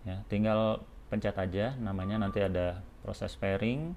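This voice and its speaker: A man's voice: fairly low pitch, normal speed, a little mellow, slight background noise. A man giving a casual, hands-on product demonstration step by step, informally and with short check-in tags. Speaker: product tutorial presenter for a helmet shop.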